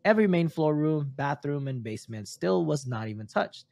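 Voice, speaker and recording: A man's voice: fairly high pitch, expressive, normal speed, creaky, a little mellow, no echo. A man who is not a native English speaker reads a story aloud for a podcast, stumbling now and then on his words. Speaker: podcast host reading stories.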